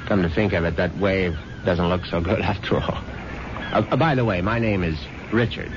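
A man's voice: low voice, moderate pace, noisy background, lightly acted. An actor playing a wry, self-satisfied idle husband who narrates his own story in a dry, urbane way in a 1970s radio drama. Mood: offhand. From born in London, moved to the United States when young (character). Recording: dull lo-fi 1970s radio broadcast, loud music.

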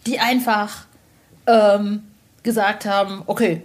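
A woman's voice: mid-range voice, steady tone, takes it slowly. A woman chatting casually in a candid, informal and colloquial way. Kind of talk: casual chat.

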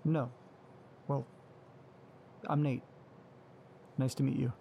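A man's voice: medium pitch, level tone, takes it slowly, creaky, highs cut off, slight background noise. A man playing a nervous, hesitant young man who apologizes and stumbles over his words in an audio drama. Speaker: lead character.

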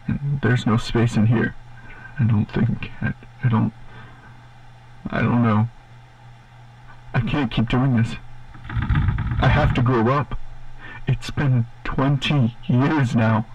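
A man's voice: mid-range voice, steady tone, darkish tone, slightly rough, lo-fi sound. A man playing a haunted late-night DJ. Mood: despairing. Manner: halting, despairing. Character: haunted male radio DJ alone in a booth.

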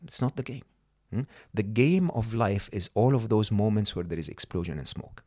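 A man's voice: fairly low pitch, lively intonation, moderate pace, dark tone, no echo, studio quality. A middle-aged man whose first language is not English, a podcast guest explaining ideas emphatically and often checking in with the listener. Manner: emphatic, checks in with "okay?" and "hmm?". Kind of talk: conversational coaching talk.

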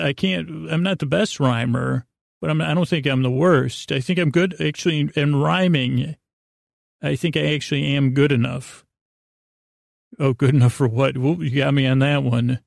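A man hosting a sleep podcast with a rambling, halting improvised monologue, often stammering, interrupting himself and arguing with himself in a self-deprecating way. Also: on the high side, fairly even intonation, creaky, no room sound, studio quality. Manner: self-deprecating, acting out inner dialogue. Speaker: podcast host.

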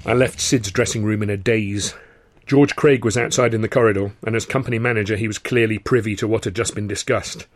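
A man reading a first-person audiobook in a wry, expressive storytelling manner. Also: lowish voice, a little fast, no echo. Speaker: author reading own novel.

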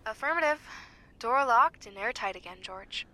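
A woman's voice: fairly high pitch, expressive, moderate pace, lightly acted. A woman acting in an audio drama as an upbeat, observant bunker maintenance worker, heard through a suit recorder outdoors. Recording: suit recorder, outdoor ambience, faint noise.

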